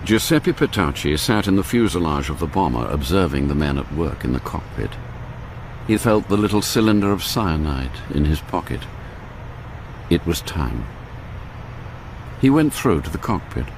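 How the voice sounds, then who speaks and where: An actor as the grave narrator of a radio spy thriller, telling the story in a measured, scripted way. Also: male voice, very deep voice, sing-song, noisy background, full character voice. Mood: grave, tense. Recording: loud music and engine effects behind.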